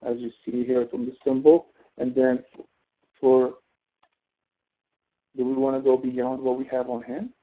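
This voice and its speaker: A man's voice: mid-range voice, monotone, measured pace, long pauses. Male tutor on a tinny phone-like line. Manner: explanatory, often checks understanding with tag questions. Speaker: instructor, tutor. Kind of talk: step-by-step spreadsheet tutoring.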